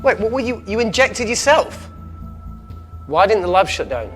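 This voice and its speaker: Male tech specialist: sardonic, blunt, slightly high-pitched, screen drama with prominent score. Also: sing-song, normal speed. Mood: alarmed. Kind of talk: naturalistic screen acting. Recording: prominent music under dialogue.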